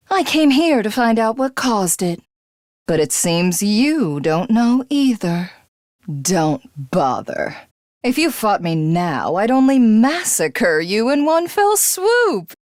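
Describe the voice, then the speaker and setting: A woman's voice: mid-range voice, no echo, studio quality. A woman voicing a proud, cruel alien warlord in a video game, speaking in an imperious, formal, theatrical and taunting way. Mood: haughty, composed, then cold menace. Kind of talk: dramatic video game voice acting.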